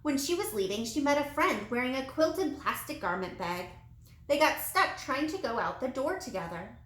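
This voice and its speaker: A woman reading a children's storybook aloud in an expressive, animated way with dramatic emphasis. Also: high-pitched, moderate pace. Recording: roomy, echoey sound.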